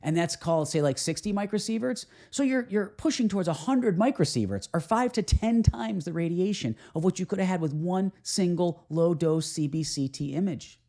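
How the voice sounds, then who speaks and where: A man's voice: high-pitched, expressive, moderate pace, few pauses, warm tone. A man speaking as an orthodontist coach, giving an explanatory clinical lecture in an emphatic, informal, opinionated way.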